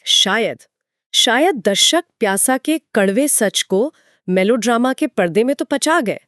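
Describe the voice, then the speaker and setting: A woman's voice: on the high side, animated, a little slow, fairly bright tone, no room sound, clean studio sound. A woman giving explanatory, conversational film commentary in an earnest storytelling way. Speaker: podcast co-host.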